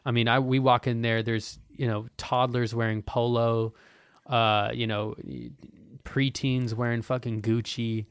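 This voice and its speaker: Smooth-voiced young man rambling casually. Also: mid-range voice, level tone, unhurried, no room sound. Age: 20s. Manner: loose, profane, meandering with frequent restarts. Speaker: podcast host.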